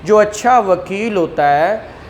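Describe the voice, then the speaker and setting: A man's voice: high-pitched, lively intonation, takes it slowly, a little hiss or hum. A man, teaching a law class and explaining points with rhetorical questions and acted examples. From Pakistan. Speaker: law lecturer. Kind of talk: classroom lecture.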